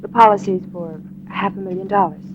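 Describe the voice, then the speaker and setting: A woman plays a poised young society wife in a 1940s radio mystery. She is guarded, direct and measured at a middling pitch, on a dull, hissy old recording. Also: fairly even intonation, close to an everyday voice. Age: 20s.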